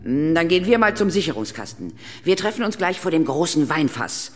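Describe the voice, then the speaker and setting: A woman's voice: low-pitched, sing-song, a little fast. An actress playing a seasoned, quirky female ghost hunter, instructive and drily humorous, in a German children's audio drama. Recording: reverberant hall, music bed.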